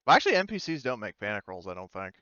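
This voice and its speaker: A man's voice: on the low side, sing-song, rapid-fire, pushed and bright, clean tone, dry recording, dull audio. A man acting as game master, narrating a tabletop game casually and hesitantly as he thinks his rulings through aloud.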